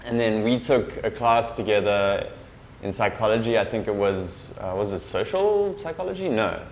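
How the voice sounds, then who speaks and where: A man's voice: on the low side, animated, normal speed, reverberant, a little hiss or hum. A young man hosting a podcast interview, casual and hesitant, with many "uh"s and restarts. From Durban, South Africa. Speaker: podcast host.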